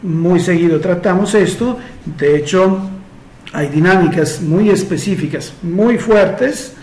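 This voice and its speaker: A man who is not a native Spanish speaker, talking slowly and hesitantly as a therapist answering follower questions, with frequent fillers. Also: sits high, a little mellow, roomy sound. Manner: slow and measured, many hesitations and fillers. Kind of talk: casual solo Q&A monologue.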